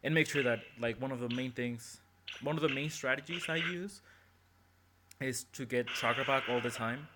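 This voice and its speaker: A man's voice: mid-range voice, normal speed, very noisy. A man casually talking through his game strategy as he plays, thinking aloud with hesitant restarts, recorded on his phone's microphone. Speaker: gameplay commentator. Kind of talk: casual game walkthrough commentary. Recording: phone mic with loud game music behind.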